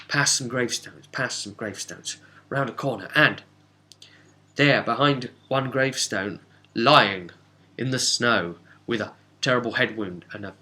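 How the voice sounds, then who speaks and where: A man recapping a tabletop game as game master, telling it casually with dramatic, halting pauses. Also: on the low side, sharp tone.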